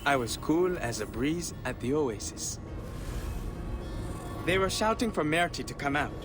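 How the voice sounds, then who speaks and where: A man's voice: a little high, animated, a little slow, over music. An actor playing a boastful red-haired young thief who spins his tales glibly in a game cutscene. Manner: boastful, glib storyteller.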